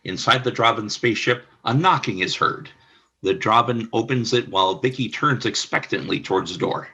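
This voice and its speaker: Guy reading stage directions, low gravelly raspy voice, bright tone. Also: normal speed. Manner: plain, matter-of-fact narration. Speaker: narrator.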